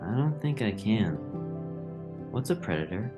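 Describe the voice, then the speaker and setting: A man's voice: lowish voice, big pitch swings, a little slow, lo-fi sound, over music. A man playing a naive, earnest young pet mouse in an audio drama, with a hesitant, pleading manner. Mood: naive, curious.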